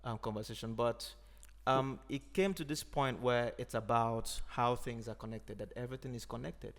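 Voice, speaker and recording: A man from Nigeria, an Igbo speaker, talking in a thoughtful, unhurried way as a podcast host. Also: medium pitch, steady tone. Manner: thoughtful, unhurried, frequent "you know". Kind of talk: reflective conversation.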